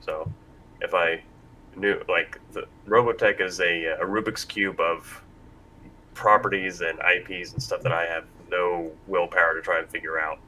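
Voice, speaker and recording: A man's voice: sits low, level tone, moderate pace, sharp tone, poor audio. A man hosting a casual panel discussion in a rambling, self-deprecating way. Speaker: panel host. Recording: faint background noise.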